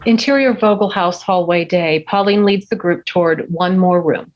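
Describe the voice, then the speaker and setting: A woman's voice: on the low side, moderate pace, dull audio. A woman reading scene headings and action lines as narrator at a script table read. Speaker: stage direction narrator.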